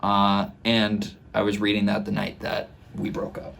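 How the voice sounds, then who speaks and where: A man in his twenties talking casually and reflectively, explaining his work in a halting way, recorded in a small home room. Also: lowish voice, monotone, creaky, a bit bright. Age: 20s. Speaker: musician and host breaking down own song. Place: small home studio bedroom indoors.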